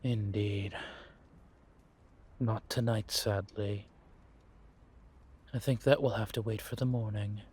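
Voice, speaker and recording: A man playing a sly, scheming vampire spy in an audio roleplay, husky and theatrical, savoring his words in drawn-out musings. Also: lowish voice, steady tone, a little mellow. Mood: watchful, scheming. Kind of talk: scripted audio roleplay monologue addressed to a companion.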